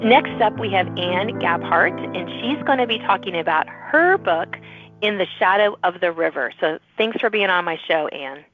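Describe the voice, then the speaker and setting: A woman's voice: fairly low pitch, animated, normal speed, soft background music. A woman hosting a podcast, interviewing a guest in a conversational style, with narrow phone-like audio. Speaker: podcast host.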